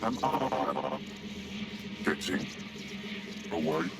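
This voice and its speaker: A man's voice: low voice, lively intonation, extremely slow, heavy background noise, full character voice. A man playing an articulate, superior AI assistant in a video game, speaking in precise, formal, machine-like phrases. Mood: faltering, wistful. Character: male AI assistant, articulate, superior, glitching, wistful.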